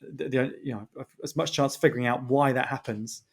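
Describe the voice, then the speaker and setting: Male podcast host, medium pitch, informal and rambling, colourful phrasing, conversational interviewing. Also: fairly even intonation, a little fast, breathy edge.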